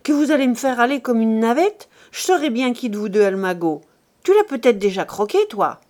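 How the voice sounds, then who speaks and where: A woman's voice: on the high side, animated, fairly quick, close to an everyday voice. A woman doing a furious insolent young man. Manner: shouting, insolent.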